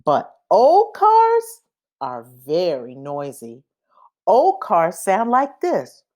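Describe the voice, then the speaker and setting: A woman reads a children's storybook aloud at a very slow, careful pace. Her delivery is expressive and highly varied in pitch, with each phrase set apart deliberately for young listeners. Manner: deliberate, expressive, acts out sound effects. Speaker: read-aloud reader. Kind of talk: children's picture book read-aloud.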